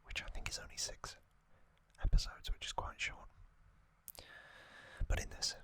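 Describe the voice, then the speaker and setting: An ASMR host whispering an unhurried, unscripted-sounding explainer in a breathy, unvoiced whisper, pausing often as they go. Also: bright, forceful tone, dry recording.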